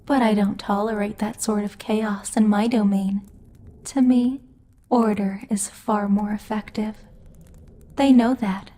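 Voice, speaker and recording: A woman playing a commanding demon lord in an ASMR roleplay, speaking in a measured, imperious and teasing way, like a strict but protective boss. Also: mid-range voice, fairly even intonation, mellow, slight background noise, close to an everyday voice. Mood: stern, probing.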